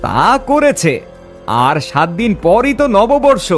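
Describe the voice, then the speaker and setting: Man playing a smug, high-voiced profiteer. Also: sing-song, slow pace, faint music behind, heavily acted. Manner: gloating. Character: greedy, smug profiteering villain. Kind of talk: cartoon villain dialogue.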